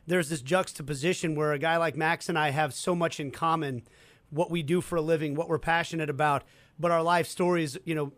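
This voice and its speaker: A man's voice: a little high, dry recording. A man from Kansas City, a co-host and play-by-play announcer, telling a story in fluent, conversational chat. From Kansas City, United States. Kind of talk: casual chat.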